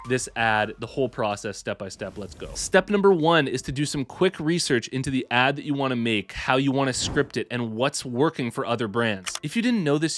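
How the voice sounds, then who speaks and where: A man's voice: medium pitch, a bit bright. Upbeat Canadian man hosting a tutorial. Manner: upbeat, slangy and salesy. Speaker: host. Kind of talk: fast tutorial presenting.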